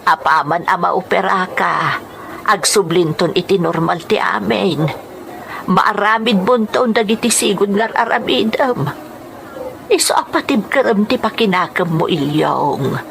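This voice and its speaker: A woman's voice: medium pitch, a little slow, creaky, a bit bright, a little hiss or hum. A woman with an Ilocano accent playing a caring, burdened middle-aged wife and mother, soothing and earnest, in a radio drama. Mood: reassuring.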